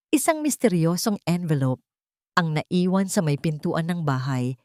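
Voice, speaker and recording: A woman's voice: fairly low pitch, a little mellow, dry recording, clean studio sound. A woman reading a scripted, dramatic audio story as its storyteller.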